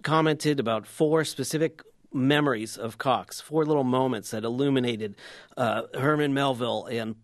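Male radio guest, dry studio sound, mid-pitched, hesitant and full of fillers. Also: no room sound. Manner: halting, many fillers and restarts. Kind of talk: remembrance in a radio interview.

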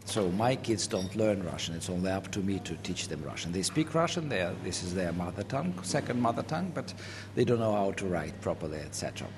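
Man, ukrainian accent, low voice, conversational, noisy background. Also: big pitch swings, quick pace. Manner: thoughtful, conversational. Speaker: interviewee, writer.

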